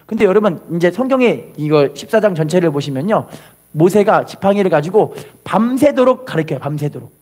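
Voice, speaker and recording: A man preaching a church sermon as a pastor, with a husky, strained voice, urging listeners on with rhetorical questions and having them repeat after him. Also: high voice, animated, fairly quick, darkish tone. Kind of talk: fervent Korean church sermon with call-and-response.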